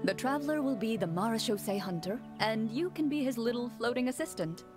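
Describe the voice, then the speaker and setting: A woman playing a composed, courteous swordswoman who explains rules as game master in a video game, measured and formal. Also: a little high, lively intonation, music in the background, lightly acted. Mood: calm, instructive. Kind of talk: animated game character acting.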